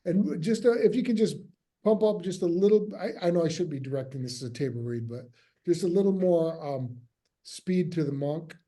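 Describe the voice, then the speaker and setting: A man leading a script table read, giving casual notes in a halting, hesitant way that often trails off. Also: a little high, expressive, warm tone.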